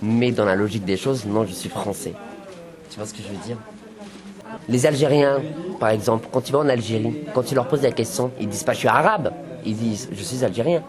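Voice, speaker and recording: A man from France speaking calmly and deliberately with a group of young people, repeating his phrases for emphasis. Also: medium pitch. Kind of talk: frank group discussion. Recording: noisy room with crowd chatter. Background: chatter of a group of youths.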